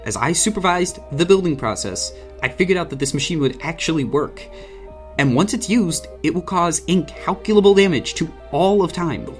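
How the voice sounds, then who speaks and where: A man's voice: on the high side, expressive, normal speed, close to an everyday voice. A man playing a nervy young scientific genius and double agent in an audio drama, evasive and slangy, with a stammering delivery. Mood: insistent. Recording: dramatic music behind the voice.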